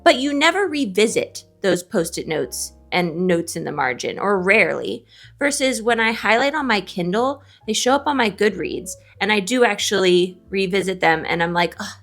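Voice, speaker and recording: A woman's voice: mid-range voice, lively intonation, moderate pace, a bit bright, soft background music. A woman co-hosting a book show in casual, opinionated chat, speaking emphatically and often posing questions. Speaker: co-host of a book discussion show; librarian.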